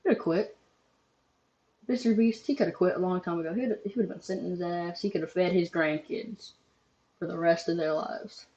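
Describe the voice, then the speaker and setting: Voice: mid-range voice, fairly even intonation, moderate pace, darkish tone, lo-fi sound. Rambling young reaction host. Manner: rambling, loose, swears casually, many ums. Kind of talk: casual reaction commentary.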